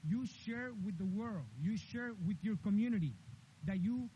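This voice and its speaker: Earnest man, high mellow voice. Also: moderate pace. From Honduras. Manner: earnest, repetitive emphatic phrasing. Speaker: commencement speaker. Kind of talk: graduation speech. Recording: dull lo-fi with faint hiss.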